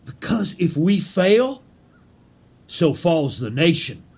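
An actor playing a zealous conspirator leader in a historical drama, speaking in grand, declamatory rhetoric. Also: male voice, a little high, sing-song, slow pace, a little mellow, slightly rough, heavily acted. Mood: insistent.

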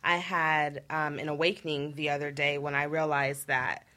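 Reflective woman co-host, lowish voice. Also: flat delivery, normal speed, bright, forceful tone. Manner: reflective, chatty, many "you know"s. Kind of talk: casual talk show conversation.

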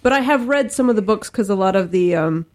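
Woman chatting casually at medium pitch. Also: expressive, brisk. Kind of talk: casual chat.

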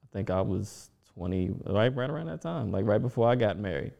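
A man's voice: on the low side, animated, a little fast, gravelly, mellow. A man in his forties talking casually and reflectively as a guest, with frequent restarts and fillers. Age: 40s. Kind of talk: casual conversation.

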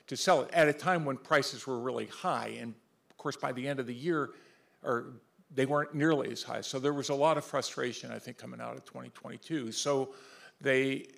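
Gravelly-voiced man on a policy panel. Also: medium pitch, fairly bright tone. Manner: rambling, many fillers and restarts. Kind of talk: policy seminar discussion.

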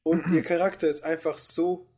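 A man's voice: on the high side, little pitch movement, normal speed, a little mellow. A man co-hosting a casual anime podcast, rambling with many fillers and restarts. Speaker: podcast co-host. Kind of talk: casual chat about anime.

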